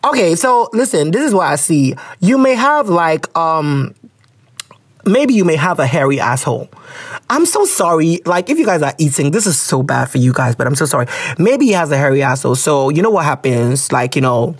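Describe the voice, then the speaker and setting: Ghanaian-accented woman podcast host, husky, lively pitch, casual and profane. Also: moderate pace. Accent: Ghanaian English. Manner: laughs a lot, swears, animated reactions. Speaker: podcast host reading listener letters. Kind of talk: casual chat.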